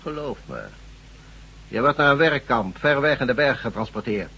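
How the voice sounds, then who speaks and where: An actor in a radio drama playing a cold, condescending, menacing regime doctor who speaks deliberately. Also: male voice, medium pitch, lively intonation, pushed and bright, slightly rough, lo-fi sound, exaggerated voice.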